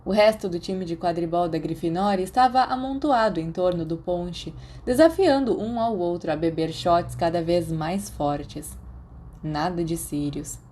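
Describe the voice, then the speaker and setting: A Brazilian woman narrates a story in a scripted audiobook style. Her voice sits fairly low for a woman, with a very soft, dark and mellow tone. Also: unhurried. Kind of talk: scripted fan fiction narration.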